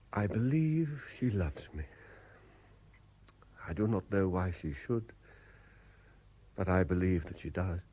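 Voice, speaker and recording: A man's voice: low-pitched, mellow, husky, heavily acted. An actor with a British accent playing an earnest, troubled man in love, hesitant and probing, in a 1970s radio drama. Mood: brooding. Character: earnest, lovestruck, troubled Frenchman, inquisitive and hesitant.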